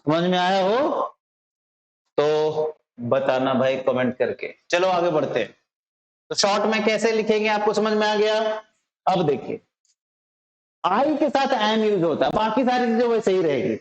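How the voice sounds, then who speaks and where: A man with an Indian accent teaching English informally, repeating phrases slowly and often checking that learners understand. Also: high voice. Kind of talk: informal spoken lesson. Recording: roomy echo, slightly dull sound.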